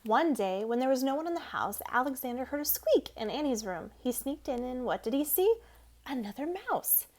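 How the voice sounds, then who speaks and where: A woman narrates a picture book for children. Her voice sits high, and she reads expressively, as a storyteller does. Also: expressive, moderate pace, darkish tone. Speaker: storybook reader.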